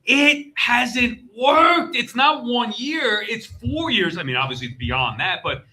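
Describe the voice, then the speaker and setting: A man's voice: very high-pitched, lively intonation, slow, pushed and bright. A man hosting a live sports panel, impassioned, exasperated and emphatic. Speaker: sports show host. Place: live convention panel stage.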